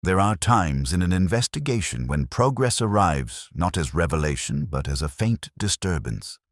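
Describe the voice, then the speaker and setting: Really low male voice reading a detective story, brooding and measured, moves around a lot, dry close sound. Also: no room sound. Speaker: narrator. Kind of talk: scripted first-person detective story narration.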